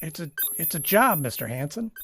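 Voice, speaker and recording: A woman's voice: deep voice, big pitch swings, fairly quick, gravelly, a bit bright, breathy edge, soft background music, full character voice. A woman playing a loyal, hesitant military radar sergeant in a radio drama, deferential, tentative and stammering. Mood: subdued, consoling. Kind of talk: live radio drama acting.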